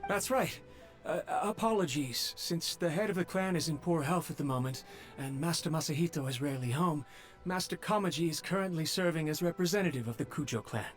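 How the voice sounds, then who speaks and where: A man's voice: a little high, a little fast, faint music behind, natural voice. An actor playing a nervous young male servant who stammers and speaks humbly. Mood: worried.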